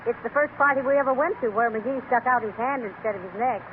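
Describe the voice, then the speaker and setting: An actress playing a good-natured, teasing wife with a wry, sociable manner in a 1930s radio comedy. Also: female voice, a little high, quick pace, close to an everyday voice. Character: dry, good-natured wife who teases her husband. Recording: tinny, very noisy old radio recording.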